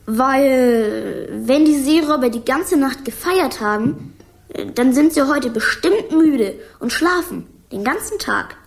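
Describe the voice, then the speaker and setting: A high-voiced performer playing an eager, earnest young boy who acts as ship's helmsman in a German children's radio play. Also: lively intonation, slow, creaky, full character voice. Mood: confident.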